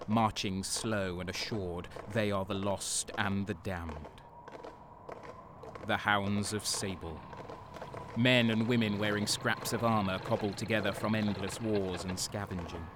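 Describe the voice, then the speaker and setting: A man playing a jaded guardsman who narrates his war with dark relish in brooding, deliberate first-person storytelling. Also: on the low side, background noise, natural voice. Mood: grim, foreboding.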